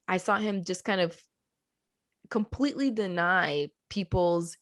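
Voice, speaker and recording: A woman who grew up in Colombia telling her family story as a podcast guest, animated, laughing easily and slowing down for emphasis. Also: lowish voice, vocal fry, no room sound, clean studio sound. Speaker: podcast guest, business co-founder. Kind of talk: casual storytelling interview. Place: at home with a child nearby.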